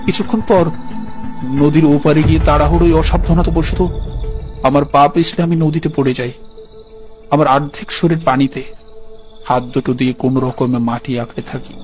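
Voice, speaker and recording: A man's voice: a little high, fairly even intonation, moderate pace, pauses often, a little mellow, soft background music. A man narrating a scripted horror story in the first person.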